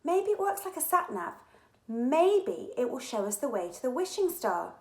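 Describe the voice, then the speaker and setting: An anxious, imaginative young girl from a storybook acted by a woman. She speaks in a raised pitch with an earnest air, in an echoey room. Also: big pitch swings, normal speed. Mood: urgent.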